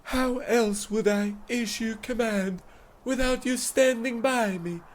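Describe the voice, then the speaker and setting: Slow, pompous king played by a man. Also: extremely high pitch. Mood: commanding. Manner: pompous, pondering. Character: pompous, dim-witted, self-important good king. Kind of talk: comedy radio play acting.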